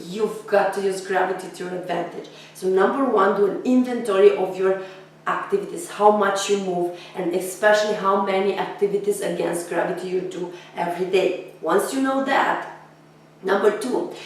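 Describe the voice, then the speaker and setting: A woman's voice: medium pitch, distant mic. Scratchy-voiced woman in an echoey room. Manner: blunt, energetic and emphatic. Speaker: nutrition and fitness educator. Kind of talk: direct-to-camera health lesson.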